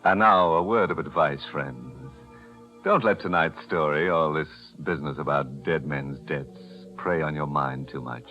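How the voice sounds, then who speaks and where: A man's voice: rumbling low, moderate pace. An American man playing a sardonic, macabre host of a 1940s radio mystery show. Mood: sly, macabre. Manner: sardonic, deadpan ghoulish humor. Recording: muffled 1940s radio, music behind.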